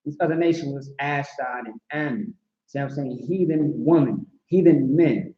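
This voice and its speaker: A man's voice: medium pitch, normal speed. A man teaching a scripture class in an emphatic, repetitive way, often checking that listeners follow. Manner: emphatic, repetitive, asks "see what I'm saying". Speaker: class instructor. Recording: roomy, dull recording.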